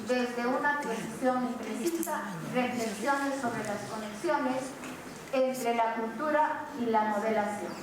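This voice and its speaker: A woman's voice: a little high, slow pace, a crowd in the background. Woman moderator in an echoing hall. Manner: reads the speaker's credentials formally. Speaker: moderator introducing the speaker. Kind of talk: formal introduction. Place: large university auditorium. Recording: echoey hall with a noisy audience.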